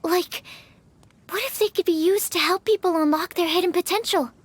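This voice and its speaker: A woman's voice: very high voice, normal speed, no room sound. A woman voicing an earnest, studious young female alchemist in a video game, explaining things encouragingly. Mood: thoughtful.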